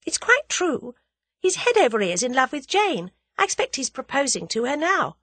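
A woman's voice: high-pitched, sing-song, moderate pace, fairly bright tone, dry recording, voice pushed up. A woman voicing a spirited, teasing young woman in love in an audiobook, playful and eager. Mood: demure teasing. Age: young adult. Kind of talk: audiobook character dialogue.

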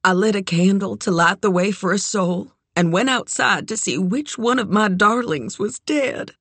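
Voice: mid-range voice, normal speed, a bit bright, no room sound, pitched above the performer's own voice. A narrator voicing a grieving, dazed, folksy woman who keeps horses in an audiobook story. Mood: haunted, grieving.

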